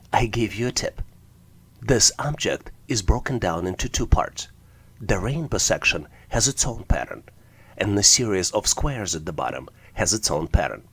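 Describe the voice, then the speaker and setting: A man's voice: on the low side, husky. A man narrating an explanatory tutorial as an instructor, walking through things methodically, step by step. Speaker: test-prep instructor.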